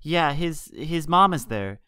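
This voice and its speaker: A man voicing a small, worried pegasus colt, a shy young boy in a fan fiction reading, halting and timid. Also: fairly high pitch, animated, pushed and bright, clear, resonant tone, no room sound, studio quality, voice pushed up, full character voice. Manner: halting, timid, repeating words. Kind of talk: fan fiction audiobook character voice.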